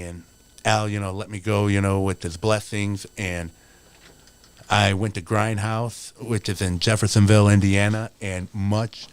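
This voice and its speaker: Brief: forties male pro wrestler, slightly low voice, heartfelt, rambling pep talk. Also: clear, resonant tone. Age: 40s. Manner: earnest, motivational, rambling with many fillers. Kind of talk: casual podcast interview.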